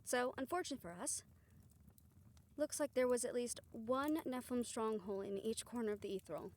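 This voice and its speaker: A woman's voice: on the high side, normal speed, darkish tone, natural voice. A woman acting a good-natured young spellcaster in an audio roleplay, talking in a casual, folksy, wry way. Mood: thoughtful.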